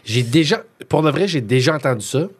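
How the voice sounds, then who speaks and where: A man's voice: a little high, animated, brisk, slightly rough. A man hosting a podcast, asking curious questions with some hesitation. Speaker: podcast host. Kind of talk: casual interview.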